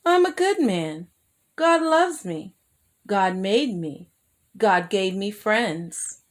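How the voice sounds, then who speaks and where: A woman's voice: mid-range voice, animated, takes it slowly. A woman storyteller voicing a lonely, troubled homeless man in earnest children's storybook dialogue. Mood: hopeful.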